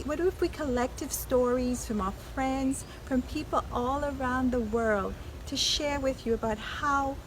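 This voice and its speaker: Slow, earnest woman from Trinidad. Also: on the high side, a little hiss or hum, rough recording. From Trinidad and Tobago. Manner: earnest, reflective, with frequent restarts. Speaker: vlog host. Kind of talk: personal storytelling vlog.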